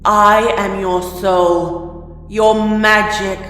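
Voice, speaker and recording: A man voicing Daybreaker, a fiery, self-assured female spirit who speaks loud and scornful, with heavy reverb over music. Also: high-pitched, flat delivery, drawn-out words, pitched above the performer's own voice, exaggerated voice. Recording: echoey, long reverb, music behind.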